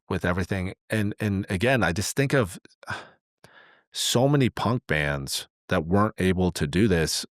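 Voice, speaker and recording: A man co-hosting a podcast, commenting conversationally and repeating words haltingly as he forms his thoughts. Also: fairly low pitch, dry recording, clean studio sound. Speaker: podcast co-host.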